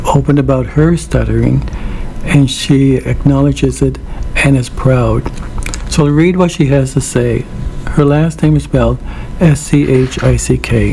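Middle-aged male host with a stutter, a gravelly and mellow voice, speaking reflectively. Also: mid-range voice, a little hiss or hum, rough recording. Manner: stutters, with repetitions and blocks. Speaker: host of a weekly program. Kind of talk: reflective monologue.

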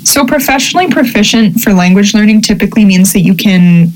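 Lady on a podcast just chatting, explains stuff clearly, lots of likes and you knows, normal pitch. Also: expressive, fairly quick, darkish tone, poor audio. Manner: chatty, explains things clearly with filler words. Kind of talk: casual chat.

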